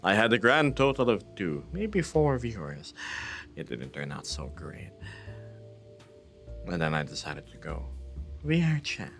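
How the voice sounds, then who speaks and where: Deep-voiced playful male streamer. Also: big pitch swings, a little slow, pauses often, fairly bright tone, faint music behind. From Philippines. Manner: playful, teasing, laughs often. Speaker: livestreamer. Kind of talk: casual livestream chat with viewers.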